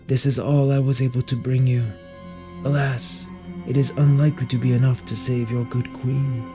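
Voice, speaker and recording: A man playing a scheming warden in a fantasy audio drama, speaking in a formal, measured, conspiratorial way. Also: medium pitch, little pitch movement, over music, close to an everyday voice. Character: scheming male warden offering secret aid for reward.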